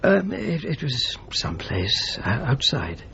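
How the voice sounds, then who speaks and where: A man's voice: medium pitch, big pitch swings, slow pace, vocal fry, pushed and bright, muffled recording, slight background noise, heavily acted. An actor playing an earnest, discreet churchman in a radio drama, speaking hesitantly and thoughtfully. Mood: guarded.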